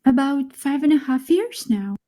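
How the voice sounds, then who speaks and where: A young woman speaking her part in a scripted conversation for English learners, clear and friendly. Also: high-pitched, animated, normal speed, warm tone. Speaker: speaker in a recorded dialogue.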